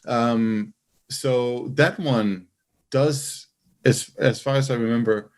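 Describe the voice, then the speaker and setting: A man's voice: mid-range voice, steady tone, slow pace. A man in casual podcast conversation, explaining things in a careful, qualified way. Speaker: podcast host.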